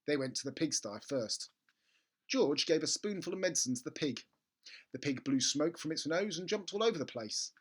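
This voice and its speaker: A storybook reader narrating a children's tale in an expressive, theatrical way. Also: mid-range voice, moderate pace, slightly rough. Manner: animated, theatrical.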